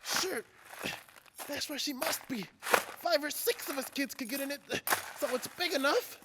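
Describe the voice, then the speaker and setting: A woman's voice: high voice, unhurried, noisy background. A woman playing a skeptical, easygoing ten-year-old kid in an old-time radio drama, talking in folksy slang. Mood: reluctant. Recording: long hall-like reverb.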